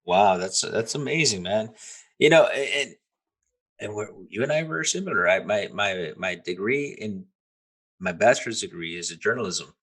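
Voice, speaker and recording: A man's voice: lowish voice, animated, moderate pace, a bit bright, breathy edge, poor audio. A man hosting a podcast interview, stammering and repeating words as he starts his sentences. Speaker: podcast host.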